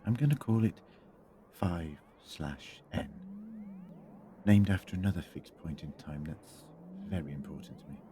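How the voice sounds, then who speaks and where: A man's voice: low voice, lively intonation, a little slow, mellow, slight background noise. A man playing a weary, sombre time traveller in an audio drama, speaking in a grave, reflective way. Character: weary, sombre time-travelling male hero, burdened by guilt.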